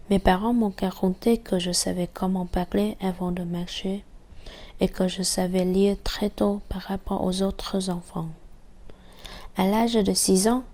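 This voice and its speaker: A woman gives a reflective personal voice-over in a calm, measured way. Her voice is mellow and quite even in pitch, and she takes her time over each phrase. Her phrasing is slightly formal. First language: Vietnamese.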